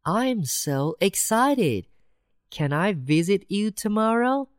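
A woman voicing a simple, cheerful country mouse in a children's storybook reading, slow and wide-eyed. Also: mid-range voice, big pitch swings, no echo, studio quality. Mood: amazed.

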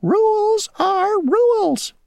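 A woman voicing a tiny, pompous male mosquito ferryman who talks slowly and insists on rules. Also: very high voice, expressive, creaky, darkish tone, dry recording, clean studio sound, pitched above the performer's own voice, full character voice. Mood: self-important. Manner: slow, rule-bound, boastful.

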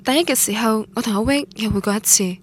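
An actress as a young female student with a fairly high voice and Guangdong Cantonese accent, narrating a radio drama. She is wistful and literary, reflecting on first love over a music bed. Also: quick pace, close to an everyday voice.

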